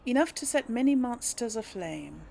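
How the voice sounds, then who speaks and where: A woman's voice: fairly high pitch, very animated, normal speed, creaky, mellow, slight background noise. A woman voicing a composed, polite young noblewoman adventurer in role-played fantasy game dialogue.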